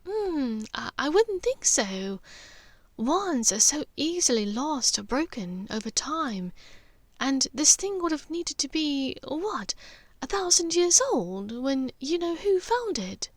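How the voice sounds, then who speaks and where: A woman voicing a refined, anxious older aristocratic witch mother in an audiobook story, breathy, careful and gently firm. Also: high-pitched, very animated, slow pace, dry recording, voice pushed up, full character voice. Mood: thoughtful.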